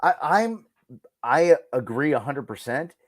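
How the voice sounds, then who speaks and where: A man's voice: a little high, big pitch swings, unhurried, no echo, studio quality. A middle-aged man chatting casually as a podcast guest, earnest and emphatic, repeating himself for stress. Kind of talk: casual fan discussion.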